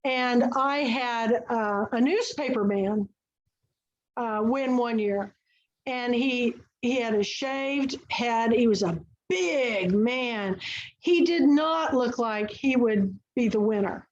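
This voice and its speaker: A woman's voice: fairly high pitch, slow pace, muffled recording, slight background noise. A woman hosting an online book and film club, chatting eagerly and telling stories. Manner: chatty, enthusiastic storyteller. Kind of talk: casual book and film chat. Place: online video call.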